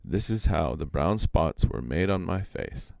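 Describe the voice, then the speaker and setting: A gentle young fawn in a storybook , read by a man. It explains things calmly and obligingly in a low voice with some creak, recorded dry. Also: unhurried, no room sound, exaggerated voice. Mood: calm, explaining.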